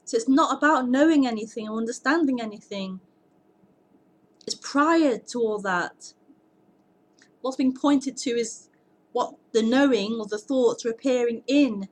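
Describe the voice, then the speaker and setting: A woman hosting a live-streamed non-duality sitting, speaking earnestly in halting phrases, often repeating words and breaking into laughter. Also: a little high. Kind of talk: live-streamed spiritual talk and Q&A.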